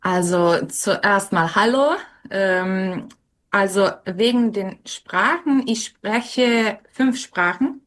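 A woman's voice: medium pitch, very slow, a bit bright. A young woman answering interview questions casually, with frequent hesitations and fillers. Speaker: interview guest.

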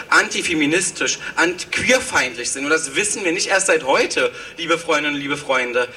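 A man's voice: medium pitch, pushed and bright, recorded from a distance, a little hiss or hum. A man giving a fiery rally speech at an outdoor demonstration, repeating rallying calls. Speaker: rally speaker.

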